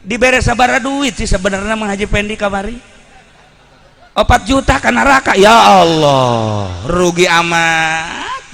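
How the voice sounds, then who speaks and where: A man's voice: extremely high pitch, creaky, bright, forceful tone. A man with a Banten Sundanese accent preaching and telling stories in a blunt, colloquial way, repeating phrases for emphasis. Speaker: Islamic preacher. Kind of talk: Sundanese sermon with storytelling. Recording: reverberant hall, background noise.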